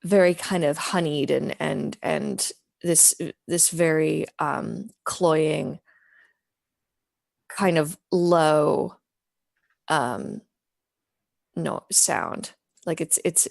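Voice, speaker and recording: A woman's voice: low voice, slow pace, creaky, a little mellow, no room sound, clean studio sound. A woman playing a tabletop game, talking casually and searching for words. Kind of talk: casual actual-play chat.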